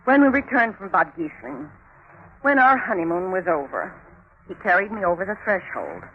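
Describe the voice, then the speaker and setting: Melodramatic weary wife, sighing delivery, narrow-band 1950s radio drama with faint hiss. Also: female voice, fairly low pitch, big pitch swings, normal speed. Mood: resigned. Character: long-suffering wife, melodramatic about her bumbling husband. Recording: tinny, hissy 1950s radio recording.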